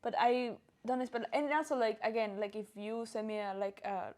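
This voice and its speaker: A young woman with a Colombian accent chatting casually as a podcast co-host, animated and expressive, with lots of "like". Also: fairly high pitch, moderate pace. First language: Spanish. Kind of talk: casual chat, personal anecdotes.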